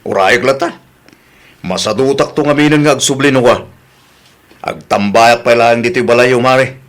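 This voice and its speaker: A man's voice: mid-range voice, normal speed, close to an everyday voice. A man with an Ilocano accent acting a meddling, nagging village neighbour and husband in a radio drama. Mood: casual.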